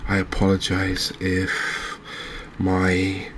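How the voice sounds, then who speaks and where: Deep voiced guy doing cozy asmr game commentary, really even and slow, some noise behind him. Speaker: host, video game streamer.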